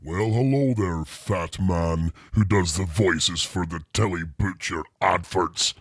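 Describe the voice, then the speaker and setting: Slow husky man. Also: sits low, no room sound, clean studio sound. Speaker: prank caller. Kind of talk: comedic phone call sketch.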